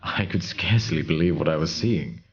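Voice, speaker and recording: A man playing an earnest, weary wanderer in an audio drama, halting, reverent and pleading. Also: extremely low pitch, lo-fi sound. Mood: awed. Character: earnest, weary wandering male seeker in awe.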